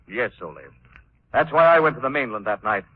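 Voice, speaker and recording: An actor playing an assured crime-fighting gentleman hero in 1940s radio drama style, speaking in a measured, explanatory way. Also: mid-range voice, expressive, no room sound, natural voice. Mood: confident, probing. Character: assured male crime-fighting hero, wealthy man about town. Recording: tinny, narrow 1940s radio recording.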